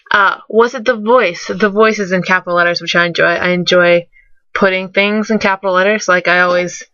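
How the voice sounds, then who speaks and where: A young woman, sick and rougher-voiced than usual, rambling through fan questions in a casual webcam vlog, self-deprecating and full of fillers. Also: fairly low pitch, pushed and bright, no room sound. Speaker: vlogger. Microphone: webcam mic. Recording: dull lo-fi webcam audio.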